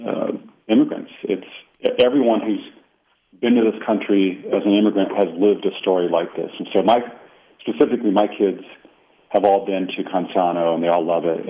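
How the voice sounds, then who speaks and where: Deep-voiced man on a phone line. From Colorado, United States. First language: Italian. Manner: reflective, with frequent fillers and restarts. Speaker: author guest. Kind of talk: radio interview.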